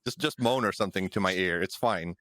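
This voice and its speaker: A man's voice: low voice, a little fast, bright, forceful tone, no room sound. A man co-hosting a gaming podcast in casual, profane chat. He jokes a lot and laughs often. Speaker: podcast co-host.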